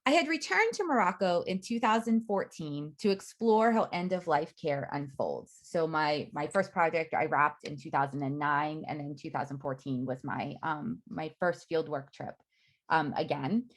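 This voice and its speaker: A middle-aged woman gives an academic faculty talk in a measured way, reading prepared remarks with frequent ums. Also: low voice, lively intonation. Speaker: academic speaker.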